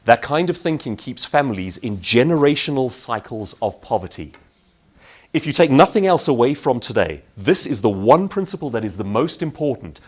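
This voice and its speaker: Male keynote speaker, medium pitch, highly animated and emphatic, motivational delivery built on rhetorical questions.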